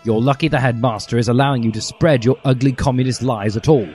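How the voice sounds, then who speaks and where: A man's voice: medium pitch, fast, darkish tone, natural voice. A man voicing a stern, prim older female teacher who scolds disapprovingly in a comic story reading. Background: music.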